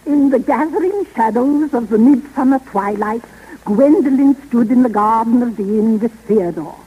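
An actress playing a meddling, gushing amateur romance novelist in a 1930s radio comedy, with a theatrical, swooning delivery. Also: female voice, a little high, normal speed, slightly rough. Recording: tinny, noisy 1930s radio broadcast.

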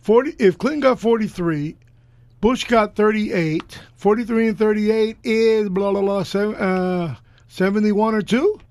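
Hoarse, bombastic older man. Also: high voice, a little slow, a little mellow, dry recording. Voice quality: hoarse, gravelly voice. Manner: gregarious, bombastic, argumentative. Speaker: podcast co-host. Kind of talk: casual political chat.

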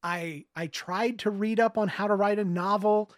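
A man's voice: sits high, fairly even intonation, moderate pace, no echo, studio quality. A man who is an author, speaking as a storyteller reading and reflecting on his own work. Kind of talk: audiobook narration and reflective interview answers.

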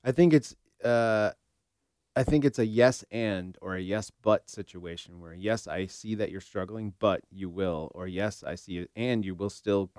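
A man's voice: fairly low pitch, measured pace, a little mellow, smooth, no echo, clean studio sound. A man co-hosting a podcast, talking informally and loosely as he reasons things out. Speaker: podcast co-host. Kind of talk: casual podcast discussion.

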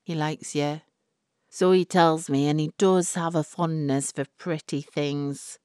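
A woman plays an older, wealthy matriarch from a storybook, smugly menacing. She talks low and very slowly, with cold, genteel condescension. The recording is dry. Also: fairly even intonation, darkish tone, smooth, no room sound. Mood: measured persuasion. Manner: slow, measured, genteel condescension.

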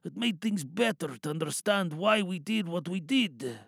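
Man acting a British soldier, battle-worn, bitter, hushed, creaky voice, dry sound, audio drama monologue. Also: high-pitched, animated, normal speed, no echo, heavily acted. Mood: weary, resigned. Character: weary, battle-hardened British soldier, whispering to a comrade.

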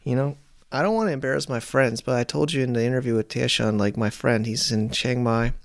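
A man's voice: medium pitch, fairly quick, gravelly. A man in his late thirties, an internet marketer, ranting in a casual vlog in an exasperated, repetitive way. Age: late 30s.

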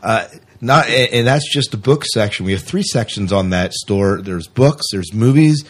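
A man's voice: on the low side, lively intonation, moderate pace, husky. A man hosting a podcast in a casual, talkative, explanatory way, chatting with a co-host. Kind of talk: casual co-hosted podcast chat. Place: small closed room.

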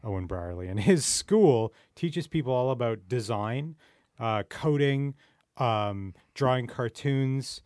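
A man's voice: medium pitch, lively intonation, slow pace, a little mellow, no room sound, clean studio sound. A man co-hosting a podcast, talking in a wry, sardonic, emphatic way. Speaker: podcast co-host. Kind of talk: scripted opinion monologue and casual podcast chat.

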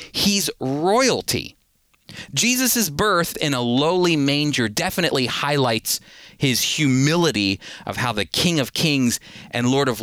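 A man's voice: fairly high pitch, fairly bright tone, no echo. A man teaching the Bible on a radio show, earnest and emphatic, repeating words as he drives a point home.

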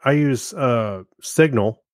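Male podcast sidekick, medium pitch, casual halting delivery, very dry room sound. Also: no room sound. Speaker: podcast co-host. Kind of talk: casual chat.

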